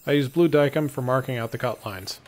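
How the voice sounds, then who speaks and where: A man's voice: mid-range voice, a little fast, background noise. A man giving matter-of-fact, scripted how-to narration as a DIY builder.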